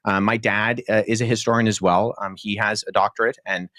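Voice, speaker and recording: A young man with a lowish voice explains his reasoning earnestly and articulately. He speaks at a measured pace and uses frequent ums and uhs. The sound is dry and close, with no room echo. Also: fairly even intonation, sharp tone. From born in Pakistan, childhood in Jordan. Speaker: debate guest, historian. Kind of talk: podcast debate answer.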